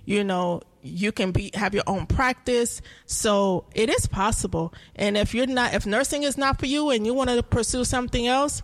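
A woman's voice: a little high, normal speed, hardly any pauses. A woman in her forties, a nursing instructor, co-hosting a casual chat, speaking earnestly and laughing often. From United States.

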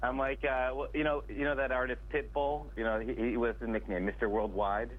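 A congested, stuffed-up man calling into a radio show as a guest attorney, pitching his services straight to the listeners. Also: little pitch movement, fairly quick. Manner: salesy, direct address to listeners. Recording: tinny phone line.

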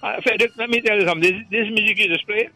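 A Guyanese man calling in to a radio show. His voice is fairly high and very bright, and he rambles with stammered restarts. The sound is dry, with faint music behind him. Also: very fast. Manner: rambling, stammering with repeated words. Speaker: caller. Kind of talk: call-in radio chat.

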